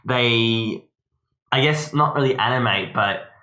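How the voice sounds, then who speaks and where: A man's voice: mid-range voice, takes it slowly, bright, forceful tone, reverberant. A man co-hosting a podcast, rambling in casual chat with plenty of fillers. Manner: rambling, hesitant, lots of fillers and restarts. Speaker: podcast co-host.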